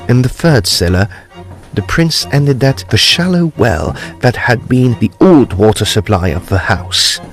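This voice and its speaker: A man playing a courteous, precise gentleman ghost hunter, telling his gothic tale in a formal, deliberate, expressive way. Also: medium pitch, creaky. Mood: grave, suspenseful. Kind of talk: dramatised gothic storytelling.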